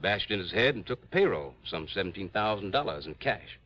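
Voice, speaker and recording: An American actor playing an earnest insurance manager who explains things haltingly in a 1950s radio drama. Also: male voice, low-pitched, sing-song, sharp tone, exaggerated voice. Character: earnest male insurance office manager briefing an investigator. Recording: dull old radio recording.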